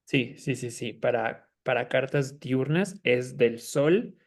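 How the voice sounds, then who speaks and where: A man with an average-pitched voice talks as a guest expert in a conversation. He explains ideas in a halting way, doubling back on words and pausing to find the next phrase. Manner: hesitant, halting, repeats words while searching. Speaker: guest astrologer.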